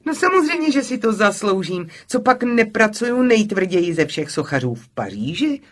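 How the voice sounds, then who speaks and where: A woman's voice: medium pitch, very animated, voice pushed up. A woman narrator voicing an impatient, proud, outspoken young French woman sculptor in an audiobook, with emphatic, exclamatory delivery. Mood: proud, excited.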